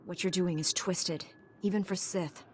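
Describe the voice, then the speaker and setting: A woman's voice: fairly low pitch, steady tone, measured pace, slight background noise, close to an everyday voice. Woman as an earnest young Jedi knight. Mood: concerned. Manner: earnest, direct.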